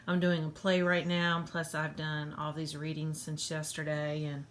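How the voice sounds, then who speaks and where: A woman with a hoarse voice giving a casual tarot reading, halting with many ums and frequent throat clearing. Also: low voice, completely flat delivery, a little hiss or hum. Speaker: tarot reader.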